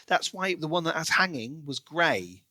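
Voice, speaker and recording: A man's voice: fairly high pitch, big pitch swings, normal speed, pushed and bright, no room sound. A man co-hosting a podcast, talking casually and analytically through his thoughts on a book.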